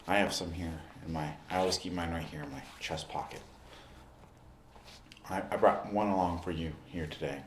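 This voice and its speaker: A man speaking in an ASMR roleplay, addressing the listener directly in short, unhurried phrases with pauses. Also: deep voice, fairly even intonation, echoey room, a little hiss or hum. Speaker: ASMR performer playing a basketball recovery expert.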